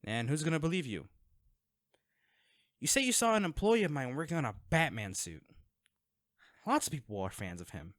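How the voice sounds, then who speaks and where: A man's voice: a little high, very animated, moderate pace, long pauses, a bit bright, no echo, clean studio sound. A man playing a guarded, wealthy vigilante in an audio drama, speaking in a curt, sarcastic and threatening way to the listener.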